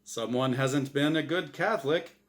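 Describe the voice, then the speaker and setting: A man's voice: mid-range voice, moderate pace, close to an everyday voice. A man voicing a smug, showy angel who hosts a game show, with theatrical, emphatic patter in a storybook reading. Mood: smug, teasing. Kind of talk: storybook character voice in dramatic reading.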